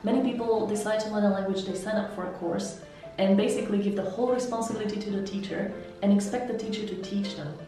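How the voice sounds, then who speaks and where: A woman from Slovakia with a Slovak accent, an interpreter and language mentor, giving measured, explanatory interview answers. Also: mid-range voice. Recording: echoey room, heard from some distance, soft music.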